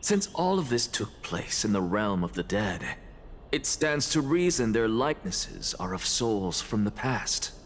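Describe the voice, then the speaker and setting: Slow, pompous, low-voiced scholar. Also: male voice, big pitch swings, a little hiss or hum. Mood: coolly triumphant. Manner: pompous, deliberate, lecturing. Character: proud male scholar professor, coolly theatrical and dying. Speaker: video game character. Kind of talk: dramatic scripted game dialogue.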